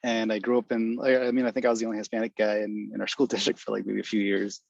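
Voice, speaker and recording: This is a young man with a lowish voice who talks quickly, over dull, lo-fi video call audio. He hedges a lot with "uh," "like," and "I guess." The sound is dry. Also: little pitch movement, gravelly, no room sound. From United States (Wisconsin), born in Guatemala. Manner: hesitant, lots of "uh," "like," "I guess". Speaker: study abroad alumnus panelist. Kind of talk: casual panel answer.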